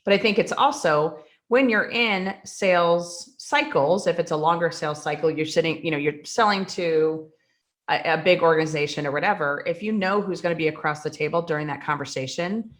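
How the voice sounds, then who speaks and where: A woman co-hosting a podcast who explains points with examples and moves the talk from topic to topic. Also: sits low. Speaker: podcast co-host. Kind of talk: casual conversation.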